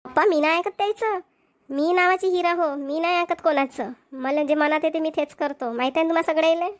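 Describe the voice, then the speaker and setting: A woman's voice: very high voice, fairly quick. A woman with a Varhadi Marathi accent voicing a headstrong, argumentative middle-aged village wife in a cartoon comedy. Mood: defiant, boastful. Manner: argumentative, colloquial. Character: headstrong, talkative village wife and mother, farm labourer.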